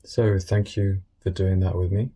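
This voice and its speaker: Man, meditation guide, husky, very even intonation, soothing, unscripted, short phrases with pauses. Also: sits low. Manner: soothing, informal, pausing between short phrases.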